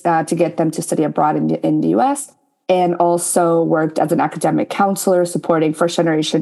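Jersey-raised young woman moderating, low mellow voice, upbeat intros. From New Jersey, United States. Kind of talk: panel moderating.

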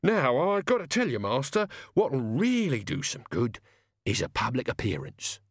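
A man's voice: mid-range voice, huge pitch swings, moderate pace, dry recording, studio quality, pitched above the performer's own voice. A man voicing a wry old manservant in a storybook reading, deferential but colloquial and coaxing. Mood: persuasive.

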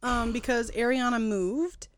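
A woman's voice: on the high side, steady tone, measured pace, no room sound. A woman co-hosting a podcast in casual chat, telling stories in an upbeat, wry way. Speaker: podcast co-host.

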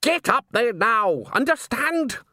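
A man voicing an agitated, triumphant man who impatiently shouts commands at a crowd in an audiobook story. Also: extremely high pitch, very animated, takes it slowly, no echo, studio quality, voice pushed up, heavily acted. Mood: exasperated shouting.

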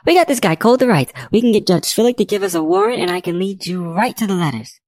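A woman's voice: medium pitch, sing-song, fast talker, vocal fry, a little mellow, no echo, clean studio sound, voice pushed up. A woman voicing a bold, argumentative, pleading female private investigator in an audiobook. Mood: defiant. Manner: insistent, argumentative.

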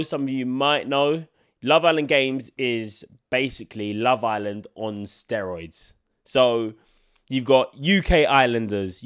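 Congested young man chatting casually. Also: mid-range voice. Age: 20s. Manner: casual, rambling, many filler likes. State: congested from a cold. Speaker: podcast host. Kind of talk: solo podcast chat.

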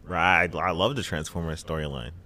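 A 21-year-old man with a deep, low voice sits in as a guest on a casual podcast in his apartment living room. He rambles through stories with a dry, joking edge. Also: fairly quick, sharp tone.

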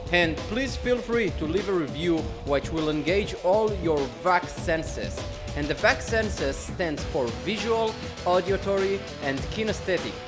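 A podcast host reading an upbeat, scripted promotional outro in an energetic way. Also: male voice, high-pitched. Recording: voice over loud guitar music.

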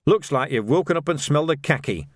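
A man's voice: fairly high pitch, expressive, fast talker, dry recording, studio quality, voice pushed up, natural voice. A man voicing a hard-headed, defiant city policeman in an audiobook, blunt and argumentative. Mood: scornful, grim.

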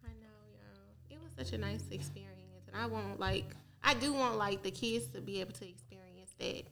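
A young adult woman in a casual podcast chat, an animated storyteller who laughs a lot. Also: medium pitch, normal speed, gravelly, faint music behind. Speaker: podcast co-host.

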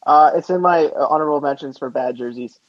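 A man's voice: fairly high pitch, fast talker, no room sound, lo-fi sound. A man co-hosting a casual sports podcast, bantering in an opinionated, incredulous and sarcastic way. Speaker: podcast co-host.

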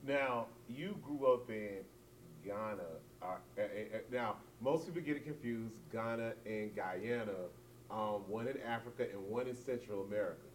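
Distant, very low-pitched male interviewer. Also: moderate pace. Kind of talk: interview questions. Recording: distant from mic, clear noise with hum.

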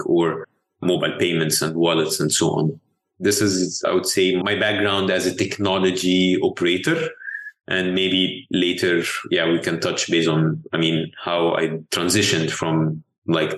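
A man in his forties from Egypt, answering interview questions in a measured way, thinking aloud with small restarts. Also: low-pitched, fairly bright tone. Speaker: venture capital investor guest. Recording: roomy echo.

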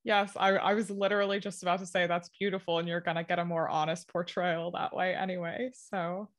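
Enthusiastic podcast host. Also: female voice, on the low side, flat delivery, brisk. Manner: warm interviewer, laughs along, enthusiastic interjections. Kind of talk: casual chat.

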